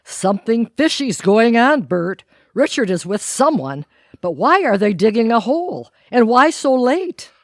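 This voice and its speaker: A woman's voice: fairly high pitch, sing-song, measured pace, dry recording, clean studio sound. A woman playing a nosy, gossipy neighbour wife in an audio comedy, insistent and conspiratorial. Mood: suspicious. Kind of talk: audio theatre comedy acting.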